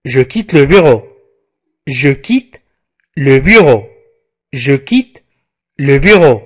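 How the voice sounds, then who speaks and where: A man teaching a language lesson, repeating each short phrase slowly and deliberately, then explaining it quickly. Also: medium pitch, very animated. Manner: quick explanations between drills. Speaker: French teacher. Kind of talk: pronunciation drill lesson.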